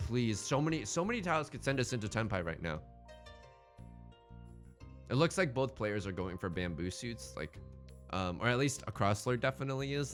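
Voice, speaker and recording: A man's voice: medium pitch, fairly bright tone. A man hosting a gaming let's play in casual, bantering commentary, thinking aloud and often repeating his phrases.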